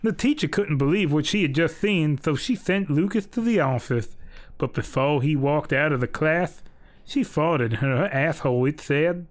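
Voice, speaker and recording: Man playing a folksy Southern storyteller, slightly high-voiced. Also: expressive, normal speed, close to an everyday voice. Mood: folksy, crude. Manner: folksy, ungrammatical, rambling asides. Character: folksy Southern bedtime storyteller, crude and jolly. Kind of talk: mock children's bedtime story narration.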